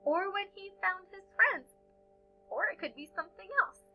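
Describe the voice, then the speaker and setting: A woman reading a picture book aloud to young children as a storyteller, with expressive, dramatic and deliberate phrasing. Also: extremely high pitch, highs cut off, soft background music.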